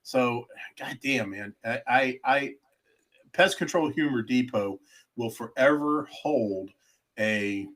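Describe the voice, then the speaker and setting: A man's voice: mid-range voice, slow pace, a bit bright. A man hosting a podcast, joking and rambling, often stumbling over his words. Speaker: podcast host. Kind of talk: casual podcast banter.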